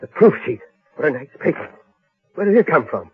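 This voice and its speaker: Jumpy office guy, really raspy, swingy pitch, tinny old radio play. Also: big pitch swings, a little fast, heavily acted. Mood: horrified. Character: jittery newspaper office man, easily horrified. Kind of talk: old-time radio acting.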